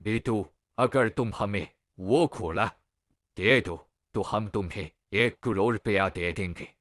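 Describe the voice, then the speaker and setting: Male actor as calm elder, deliberate, low pitch, slow delivery, cartoon story. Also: no echo, clean studio sound. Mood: persuasive. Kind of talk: animated moral story dialogue.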